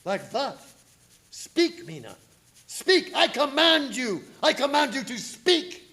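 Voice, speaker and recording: Casting: imperious older vampire-hunting professor acted by a man, high voice, big melodic swings, old-time radio style. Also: sing-song, sharp tone, a little hiss or hum, heavily acted. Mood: commanding. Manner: deliberate, theatrical, imperious. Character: commanding older male professor, grave vampire hunter.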